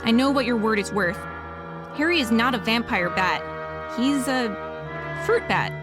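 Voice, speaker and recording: A woman's voice: on the high side, expressive, measured pace, music in the background. A woman playing an earnest, admiring female wolf lawyer in a radio drama, speaking in an eager, articulate way. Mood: earnest, confiding.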